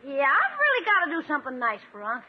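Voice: sits high, wildly swooping pitch, quick pace. An actor playing a cheeky, scheming young nephew in a 1950s radio comedy. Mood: falsely innocent. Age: child figure. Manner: wisecracking, falsely innocent. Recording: muffled old radio broadcast.

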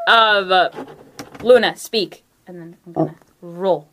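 Woman at game night, talks slow, jokey and casual, noisy recording. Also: fairly low pitch. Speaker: tabletop game player. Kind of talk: casual, joking group chat.

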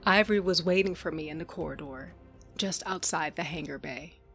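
A woman's voice: low-pitched, a little fast, fairly bright tone, soft background music. A woman playing a shrewd, guarded smuggler captain in an audio drama, speaking in a blunt, commanding way. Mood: wry, measured. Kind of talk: audio drama acting and first-person narration.

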